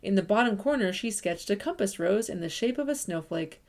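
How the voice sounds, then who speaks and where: A woman reading a picture book aloud to children, expressive and lively, stressing sounds and rhythm. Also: mid-range voice, a little fast. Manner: warm, expressive storytelling with lively emphasis. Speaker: children's story reader.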